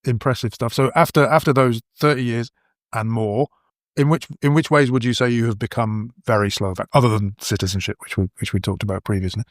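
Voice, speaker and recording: A man hosting a podcast for English learners, speaking clearly with playful introductions. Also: mid-range voice, fairly even intonation, a little fast, no room sound, studio quality. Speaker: podcast host.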